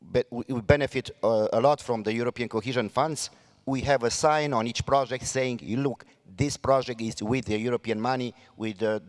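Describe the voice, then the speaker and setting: A man with a Romanian accent speaks in earnest, halting English, stopping often to search for words. His voice sits at an ordinary middle pitch. A long hall reverb trails after him, as at a conference. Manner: halting, many fillers and restarts, earnest. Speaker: mayor on a panel. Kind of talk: panel debate remarks.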